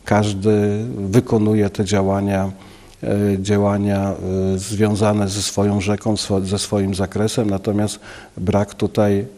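A man in his fifties, a local official giving a news interview in an office, speaking haltingly with many filler sounds into a handheld mic. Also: fairly low pitch, completely flat delivery, warm tone. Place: office, indoors. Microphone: handheld station mic.

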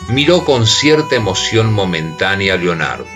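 Man narrating radio drama, very deep, animated, music underneath. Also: moderate pace. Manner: dramatic storytelling.

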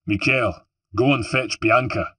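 A man doing a brisk, commanding Venetian healer. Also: medium pitch, fairly even intonation, fairly bright tone, dry recording, voice pushed up. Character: brisk male Venetian healer, gruffly caring.

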